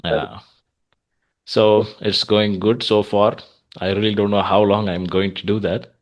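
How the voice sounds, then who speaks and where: A man's voice: on the low side, little pitch movement, a little slow, poor audio. A man with an Indian accent chatting casually as an English practice coach, giving feedback and advice in an encouraging way.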